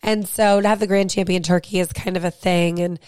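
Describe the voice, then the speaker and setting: Hoarse, husky woman podcast co-host; chatty storyteller, dry booth sound. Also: on the low side, steady tone, a little fast, a little mellow, no room sound. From Ohio. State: hoarse, losing her voice. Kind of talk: casual chat.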